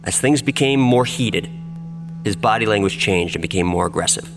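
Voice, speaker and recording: Cop on the witness stand, acted by a guy, low voice, defensive, long hall reverb. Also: moderate pace, music in the background, natural voice. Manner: formal, self-justifying. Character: defensive male police officer giving court testimony. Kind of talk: scripted courtroom drama testimony.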